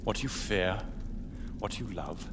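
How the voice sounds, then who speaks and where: Low-voiced, calculating military conspirator. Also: male voice, animated, background noise. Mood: cold, grandiose. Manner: measured, grandiose speechifying. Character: ambitious, coldly calculating French revolutionary-era military conspirator. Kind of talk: dramatic video game acting.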